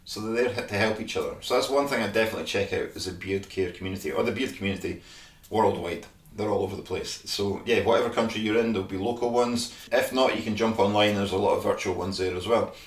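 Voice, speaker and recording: A man's voice: fairly low pitch, quick pace. Scottish man, chatty demo, echoey room, mic a bit far off. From Scotland. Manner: chatty, frequent "all right?" tags. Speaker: beard care brand host. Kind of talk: casual product demonstration.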